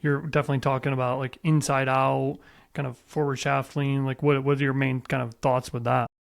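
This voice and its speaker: Man with creaky voice, casual podcast host. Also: medium pitch, flat delivery, a little fast. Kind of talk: casual interview chat about golf.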